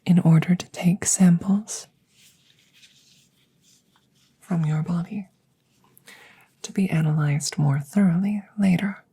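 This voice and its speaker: Alien scientist, played by a woman: low, breathy near-whisper, very unhurried, formal and detached, close-miked ASMR roleplay. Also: mellow, no room sound, full character voice. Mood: clinical, explanatory. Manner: slow, formal, precise, detached phrasing. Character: curious, clinical alien scientist tending a rescued human. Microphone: close microphone, ASMR style.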